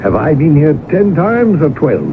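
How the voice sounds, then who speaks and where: An actor playing a boastful, genial colonel who spins tall tales in a pompous, hearty radio-drama manner. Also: male voice, on the high side, sing-song, a little slow. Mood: affable, assured. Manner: pompous, hearty, old-fashioned clubman phrasing. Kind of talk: 1980s radio drama acting. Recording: tinny, noisy old radio broadcast recording.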